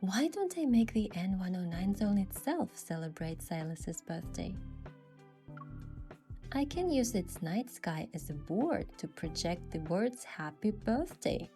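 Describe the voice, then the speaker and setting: Thoughtful young woman, game story narration, relaxed dark tone, mid pitch, soft, faint music. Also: unhurried. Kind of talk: scripted first-person narration.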